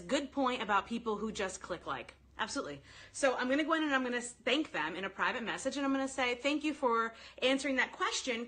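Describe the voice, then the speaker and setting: Bright-voiced woman coaching live online. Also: mid-range voice. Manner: animated, informal, addresses listeners directly, quotes sample phrases. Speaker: sales coach hosting a live online training. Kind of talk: informal live-stream coaching, chatting with commenters.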